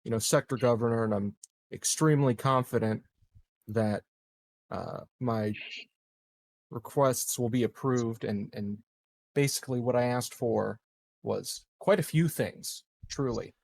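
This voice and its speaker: Male tabletop player, creaky mid-pitched voice, slow halting delivery, casual game discussion. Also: a little mellow, no echo.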